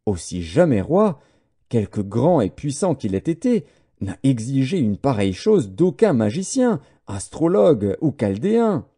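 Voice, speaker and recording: Audiobook scripture: male narrator as formal, deferential royal astrologer, very mellow timbre, expressive pitch. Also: measured pace, husky, full character voice. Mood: earnest protest. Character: deferential male court astrologers pleading with their king. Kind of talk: dramatised scripture reading.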